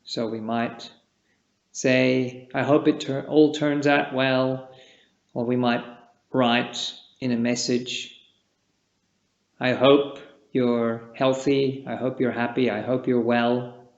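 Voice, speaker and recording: Slow, gravelly-voiced Swiss meditation teacher. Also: male voice, medium pitch, little pitch movement. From Switzerland. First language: Czech. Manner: slow, gentle and halting, with long pauses. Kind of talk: unscripted dharma talk at a retreat. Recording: echoey room, dull lo-fi sound.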